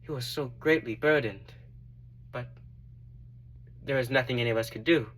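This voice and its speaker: A man's voice: medium pitch, moderate pace, a bit bright, slight background noise, natural voice. A man playing an earnest, devoted biblical disciple. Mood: grieving. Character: Matthew, repentant tax collector turned devoted disciple, earnest, grieving. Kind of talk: dramatic monologue, first-person testimony.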